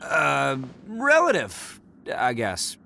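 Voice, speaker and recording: A man's voice: mid-range voice, huge pitch swings, drawn-out words, gravelly, sharp tone, faint music behind, full character voice. An actor voicing a boastful, good-hearted young male oni in a video game, cocky and theatrical. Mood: hesitant.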